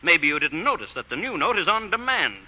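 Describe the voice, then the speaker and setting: A sharp, sarcastic American villain with widely swinging, slightly high pitch, a scheming moneylender full of bluster. The sound is a dull old radio drama recording. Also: male voice, big pitch swings, brisk. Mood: indignant. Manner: sharp, sarcastic, indignant or slick. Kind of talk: 1930s-40s radio drama acting.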